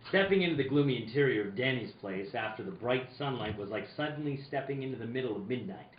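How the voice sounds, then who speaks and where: A man's voice: mid-range voice, animated, close to an everyday voice. Guy doing a wry radio detective, kinda stammery and suspicious, echoey room w faint noise. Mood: wry narrating. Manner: stammering, halting. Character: male private investigator narrating, suspicious and wry. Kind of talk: live reading of old-time radio detective drama. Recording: roomy, slightly noisy, dull recording.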